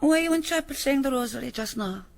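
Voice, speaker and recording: An actress playing a stern, pious Reverend Mother of a convent in a radio drama, admonishing with deliberate authority. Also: sits high, lively intonation, vocal fry. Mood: stern, instructing.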